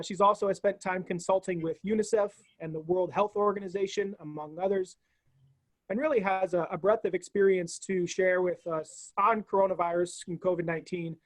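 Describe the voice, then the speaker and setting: A man's voice: high voice, no room sound, rough recording. A man hosting a university video call talk, giving friendly announcements and introducing a guest. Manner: friendly, housekeeping announcements with fillers. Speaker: university webinar host.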